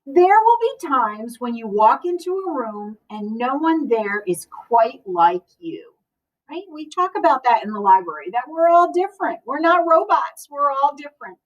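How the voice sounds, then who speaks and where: High-voiced woman reading to children, distant mic. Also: normal speed, muffled recording. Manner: expressive, addresses children directly. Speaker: school librarian reading to children. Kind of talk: picture book read-aloud with asides to children.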